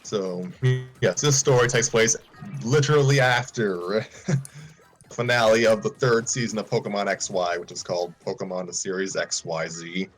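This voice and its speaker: A man with a fairly low voice hosts a casual livestream chat. He rambles, stops to fix his wording and drops chuckling asides. Faint music plays underneath. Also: steady tone, moderate pace. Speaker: host.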